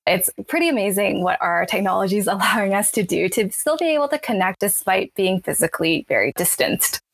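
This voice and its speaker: An upbeat woman hosts a workplace podcast, moving from a scripted introduction into a friendly interview. She records at home on a budget lapel microphone, and her voice sits at an ordinary middle pitch. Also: lively intonation, a little fast, no room sound. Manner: upbeat, enthusiastic. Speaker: podcast host. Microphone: budget lapel mic.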